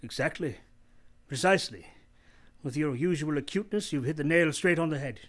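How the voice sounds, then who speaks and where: A man playing a brooding, teasing gentleman master in love, with formal, theatrical delivery in a radio play. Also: fairly high pitch, a little fast. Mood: brisk, teasing.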